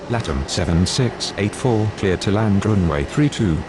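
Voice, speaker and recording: Clear vehicle-like noise sits behind a low-pitched male aviation voice acted by a man. He delivers formal, clipped radio calls as pilot and controller in a flight game. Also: expressive, unhurried. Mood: formal, procedural. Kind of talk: scripted aviation radio phraseology.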